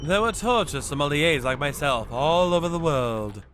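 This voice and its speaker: A male actor voicing a stern, brooding avenger who narrates a manga dub in a deliberate, dramatic way. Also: a little high, lively intonation, fairly bright tone, a little hiss or hum. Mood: resolute. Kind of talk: dramatic scripted first-person narration.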